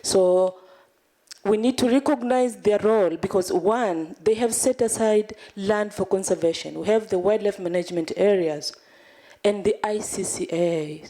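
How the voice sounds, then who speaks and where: A woman's voice: mid-range voice, a little slow, dark tone. A woman from Tanzania, a civil society delegate, speaking earnestly from the floor of a large conference hall, pleading and restarting as she thinks aloud. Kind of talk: impassioned comment from the floor.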